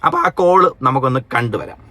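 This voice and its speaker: A man's voice: mid-range voice, expressive, quick pace, pushed and bright, dry recording. A man with a Kerala accent giving casual, mocking reaction commentary and reading comments out theatrically. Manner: mocking, sarcastic, reads comments aloud theatrically. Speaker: online commentary host.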